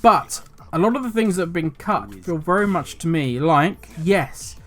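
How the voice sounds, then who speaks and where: Older male gaming host, slightly raised pitch, rambling and grumpy in tone. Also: expressive, normal speed, fairly bright tone, soft background music. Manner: talkative, rambling, self-correcting; cynical, grumbling. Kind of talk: casual commentary video.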